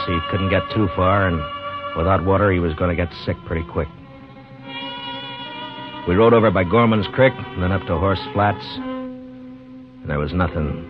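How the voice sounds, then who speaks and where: An American actor playing a calm, duty-bound frontier marshal in a 1950s radio western, measured and understated. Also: male voice, low-pitched. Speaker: lead actor and narrator of the story. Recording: dull vintage 1950s radio recording, music.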